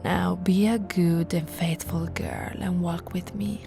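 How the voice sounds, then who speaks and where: Woman doing a snooty possessive vampire aristocrat, asmr roleplay, slow n bossy, slips into whispers, bit of music behind. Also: lowish voice, steady tone. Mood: imperious, proud. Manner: imperious, condescending, theatrical, often dropping to a breathy whisper. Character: haughty, possessive female immortal vampire aristocrat.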